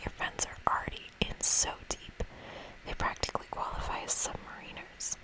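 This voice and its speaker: A woman's voice: normal speed, rough recording. Whispering woman, ASMR game reading. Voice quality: breathy whisper. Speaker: ASMR gamer reading a game's dialogue aloud. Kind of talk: whispered ASMR let's play.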